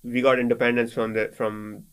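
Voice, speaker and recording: A man's voice: mid-range voice, level tone, normal speed, clean tone. A young man in his twenties from Pakistan, a native Sindhi speaker, chatting casually as a guest with lots of fillers and restarts. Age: 20s. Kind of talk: casual conversation.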